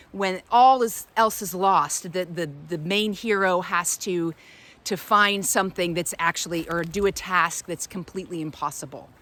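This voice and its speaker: A woman speaks slowly at a medium pitch, as a spiritual guide offering a reflection. Her delivery is unscripted and halting, with phrases broken off and picked up again as she searches for the next word. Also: a bit bright. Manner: halting, pausing mid-phrase to find words. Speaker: spiritual guide giving a short reflective talk. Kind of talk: reflective inspirational talk, unscripted.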